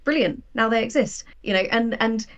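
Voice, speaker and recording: A woman chatting casually as an interview guest, telling things in an animated, anecdotal way. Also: a little high, moderate pace, dull audio. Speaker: guest, sci-fi writer.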